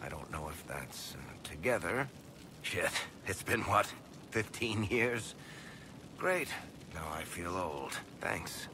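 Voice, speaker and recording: An actor playing a wry, guilt-ridden dwarf storyteller in a video game, blunt and sardonic but hesitant when pressed. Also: male voice, low voice, sing-song, slow pace, background noise, heavily acted. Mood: dry, sardonic.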